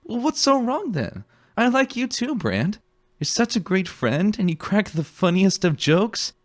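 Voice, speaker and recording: Man voicing a playful, teasing pony girl, raised pitch. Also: sing-song, a little slow. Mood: breezy. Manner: chiding, playful. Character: teasing, playful young female pony scout, breezy and affectionate.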